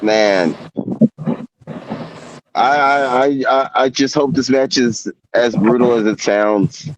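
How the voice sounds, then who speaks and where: A man's voice: medium pitch, slow pace, poor audio. A man in his forties, a guest in a casual interview, who talks in a laid-back way with stammering restarts. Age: 40s.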